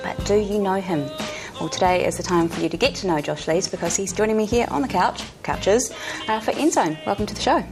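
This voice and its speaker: A woman's voice: mid-range voice, brisk, hardly any pauses, over music. A woman hosting a TV interview in an upbeat, chatty presenter manner. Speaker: TV host. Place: TV studio couch.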